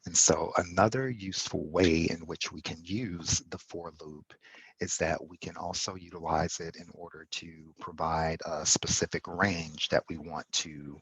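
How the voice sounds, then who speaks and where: Man, monotone, gravelly, dry close sound, walking through code haltingly. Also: deep voice, no echo, low-quality recording. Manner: halting, self-correcting explanation with fillers. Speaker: instructor. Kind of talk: screen-recorded coding tutorial.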